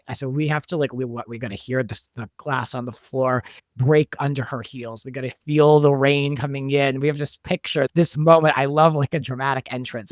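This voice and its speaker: Man, mid-pitched, emphatic, dry sound. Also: fairly bright tone, no room sound. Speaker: show creator and director, guest. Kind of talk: casual interview chat.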